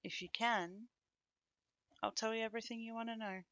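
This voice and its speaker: A woman's voice: on the high side, steady tone, a bit bright, dry recording, lo-fi sound. A woman playing a bitter, taunting young ex-girlfriend in an ASMR roleplay, speaking to the listener in a teasing, sardonic way. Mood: taunting, cold. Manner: teasing, sardonic, with dry chuckles and pointed pauses.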